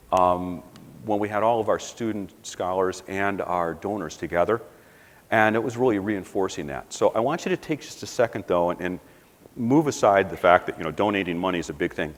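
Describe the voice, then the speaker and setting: Low-voiced man speaking in a hall. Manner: earnest, rambling with fillers and restarts. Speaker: college administrator addressing staff. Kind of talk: informal speech to staff. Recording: long hall reverb.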